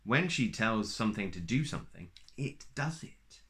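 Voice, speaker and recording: A man reading a children's picture book aloud in a slow, playful storytime manner, adding little asides to listeners. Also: lowish voice, lively intonation, fairly bright tone, slight background noise.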